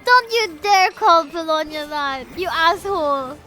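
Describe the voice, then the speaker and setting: A woman from the Philippines livestreaming a game with casual, excitable, expressive reactions. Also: extremely high pitch, measured pace, faint music behind.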